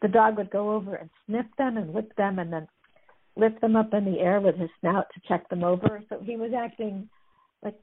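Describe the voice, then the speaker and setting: A woman's voice: mid-range voice, steady tone, moderate pace, slightly rough. A woman chattily retelling stories as a guest, amused, with many fillers. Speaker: guest discussing a book. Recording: tinny phone-like line.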